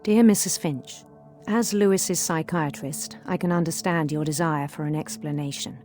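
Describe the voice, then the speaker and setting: A middle-aged woman playing a measured, concerned psychiatrist, narrating a letter deliberately in a game. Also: low-pitched, natural voice. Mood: formal, concerned. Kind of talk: scripted game narration, letter read aloud. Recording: loud music over the voice.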